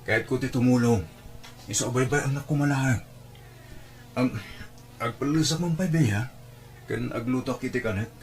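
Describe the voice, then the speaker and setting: A man's voice: mid-range voice, moderate pace, pauses often, a little hiss or hum, lightly acted. A man acting a weary, dutiful young boyfriend in an Ilocano audio drama, with a subdued, deferential manner. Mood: weary, caring.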